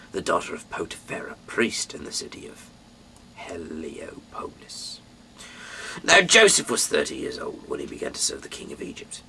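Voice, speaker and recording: A man from the UK reading scripture aloud in a dramatic, halting way, swinging between emphatic bursts and breathy, hushed delivery. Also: deep voice, slight background noise, low-quality recording. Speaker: Bible reader, amateur narrator.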